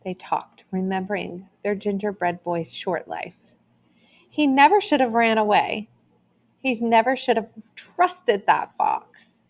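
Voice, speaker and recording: A woman storyteller reading a children's tale aloud in an expressive, deliberate way with dramatic emphasis. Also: mid-range voice, lo-fi sound.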